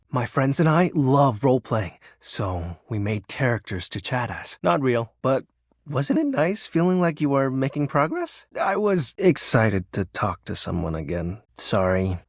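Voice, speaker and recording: A man's voice: mid-range voice, dry recording, studio quality. A man voicing a shy, nerdy living shadow in a video game, sounding earnest, hesitant and a little wistful. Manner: awkward, hesitant, sheepish. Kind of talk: video game character dialogue.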